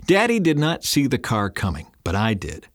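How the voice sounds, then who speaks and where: A man's voice: mid-range voice, huge pitch swings, moderate pace, dry recording, clean studio sound, voice pushed up. A man voicing a young boy in an audiobook, telling his memory simply and earnestly. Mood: wondering, earnest. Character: young boy earnestly recalling a car crash.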